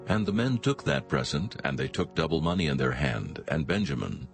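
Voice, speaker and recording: A man's voice: extremely low pitch, big pitch swings, moderate pace, creaky. A man giving solemn scripted narration of scripture. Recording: music behind the voice.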